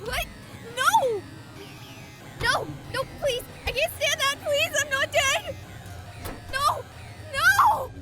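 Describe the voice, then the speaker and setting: A woman's voice: very high voice, takes it slowly, long pauses, loud music behind the voice. An actress playing a chatty, jokey young woman who hunts ghosts on a livestream, theatrical and wisecracking, in a visual novel game. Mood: panicked, pleading. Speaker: live-streaming ghost hunter. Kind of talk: dramatic visual novel voice acting.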